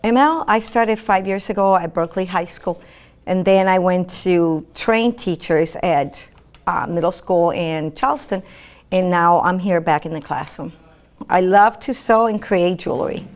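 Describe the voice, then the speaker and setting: An older woman from Puerto Rico, a native Spanish speaker with a Puerto Rican accent, speaking as a teacher in an interview, warm and a little halting. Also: fairly low pitch. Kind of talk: interview answers.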